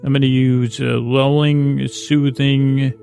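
A man with a creaky voice hosting a bedtime podcast in a meandering, improvised monologue, halting and often trailing off mid-sentence. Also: medium pitch, level tone, mellow, faint music behind. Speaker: podcast host.

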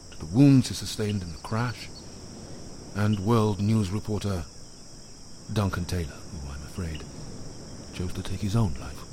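Male actor as scared tired stranded guy doing a radio log, stammery but holding it together, loud crickets and nature noise behind. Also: on the low side, natural voice. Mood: sombre, formal. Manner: halting, stammering, restrained. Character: frightened, weary stranded man keeping dutiful composure. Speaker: narrator-survivor sending radio log. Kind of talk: audio drama monologue as radio log.

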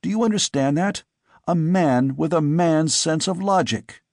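A man's voice: a little high, unhurried, darkish tone, no room sound, clean studio sound, voice pushed up. A man voicing an earnest, agitated young man who pleads insistently with his father. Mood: distressed.

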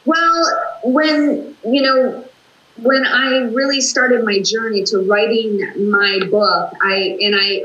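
A woman's voice: a little high, fairly bright tone. An older woman, an author and fitness instructor, talking earnestly in a casual interview over a video call from her home office. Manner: chatty, earnest, frequent restarts. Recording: echoey room.

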